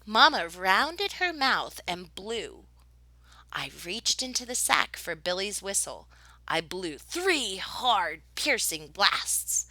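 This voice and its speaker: A woman reading a children's picture book aloud in an expressive, dramatic storytelling style. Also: mid-range voice, slow, no room sound, poor audio. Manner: dramatic, with whispered suspense and excited exclamations.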